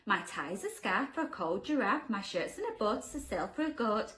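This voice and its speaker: A woman's voice: medium pitch, very animated, moderate pace, fairly bright tone. A woman voicing a kind male giant from a children's storybook, hearty and bouncy, often sing-song. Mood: proud, sing-song. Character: kind, cheerful male giant, proud of his clothes.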